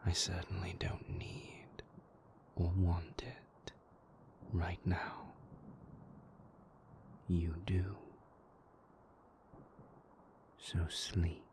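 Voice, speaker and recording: Man as a low, whispering, brooding vampire. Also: creaky, a little mellow, full character voice. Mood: hushed, soothing. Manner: formal, measured, dour phrasing. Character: moody, brooding male vampire lover, possessive yet tender. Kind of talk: intimate whispered roleplay audio drama.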